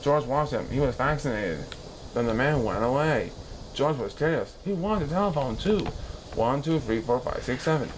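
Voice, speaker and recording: A man reading a children's story aloud in an expressive, animated style with dramatic pauses. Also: mid-range voice, slight background noise, rough recording.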